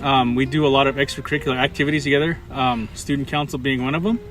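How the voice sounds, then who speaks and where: A man who works at a school, giving a casual interview answer in halting, measured phrases. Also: medium pitch, fairly even intonation, fairly bright tone. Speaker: school staff member.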